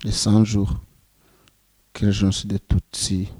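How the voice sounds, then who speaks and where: A Rwandan man in his twenties giving solemn, measured testimony as a genocide survivor. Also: on the low side, completely flat delivery, darkish tone, no echo. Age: 20s. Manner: slow, grave, measured phrasing.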